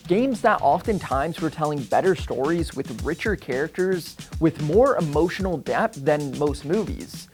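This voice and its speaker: A video essay host reading scripted narration in an explanatory way. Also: medium pitch.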